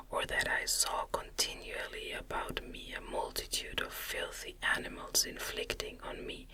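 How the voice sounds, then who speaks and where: A woman gently reading a novel aloud in a breathy, unvoiced ASMR whisper, slow and deliberate. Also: low-quality recording. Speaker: audiobook reader.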